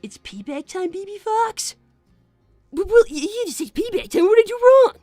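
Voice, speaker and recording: A woman's voice: very high voice, expressive, moderate pace, darkish tone, no room sound, clean studio sound, faint music behind. A woman voicing an anxious, earnest young boy fox who stammers defensively on a character livestream. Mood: conversational. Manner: stammering, flustered. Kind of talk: improvised character livestream with donation reading.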